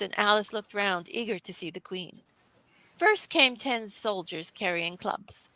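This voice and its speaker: Bright-voiced woman narrating a storybook. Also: a little high, no echo, poor audio. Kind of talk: children's classic story read aloud.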